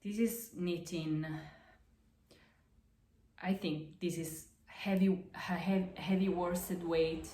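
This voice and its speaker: A woman with an Italian accent talks slowly through her knitting projects, her English halting and full of fillers and restarts. Her voice sits a little low, and the room around her rings with echo. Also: fairly even intonation, dark tone, a little hiss or hum. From Italy. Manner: hesitant, halting, many fillers and self-corrections. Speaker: podcast host. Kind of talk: casual show-and-tell of crafts. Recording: echoey room.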